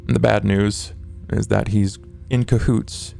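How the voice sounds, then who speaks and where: A man voicing a courtly, macabre male vampire fixer in a tabletop roleplay drama, speaking in an ornate, formal, measured way. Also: on the low side, fairly even intonation, vocal fry, warm tone, faint music behind. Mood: grave.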